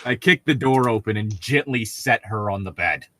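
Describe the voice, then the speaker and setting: A man's voice: fairly low pitch, animated, moderate pace, fairly bright tone. A man reading fan fiction aloud in a casual group, breaking off for sarcastic, nitpicking comments.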